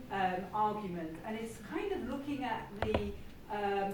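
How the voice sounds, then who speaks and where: A woman at an academic conference, discussing in a thoughtful, measured way. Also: medium pitch. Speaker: conference participant. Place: conference room. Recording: distant mic in echoey hall with noise.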